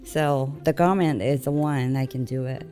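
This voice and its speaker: A woman with a Japanese accent, whose first language is Japanese, speaking halting English as a guest on an online call. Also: very deep voice, steady tone, dark tone, soft background music. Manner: halting non-native English, short agreeing replies. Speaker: interview guest, technical editor and translator.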